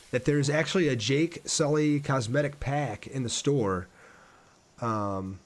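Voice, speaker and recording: A man live streaming a game, thinking aloud in an informal way and chatting casually with viewers as he plays. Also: mid-range voice.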